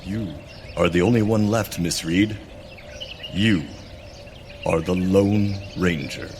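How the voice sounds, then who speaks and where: Man acting a slow, deep, solemn scout. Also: a little mellow, a little hiss or hum. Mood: solemn resolve. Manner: grave, deliberate, formal. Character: solemn, loyal male trail companion and scout. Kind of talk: western radio theater acting.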